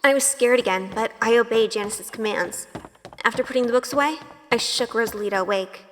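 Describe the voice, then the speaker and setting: A woman's voice: on the high side, brisk, fairly bright tone, a little hiss or hum, lightly acted. An actress playing an innocent, anxious young woman newly among witches, with an earnest, polite, timid manner in an audio drama. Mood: narrating. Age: young adult. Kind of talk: audio drama acting with first-person narration.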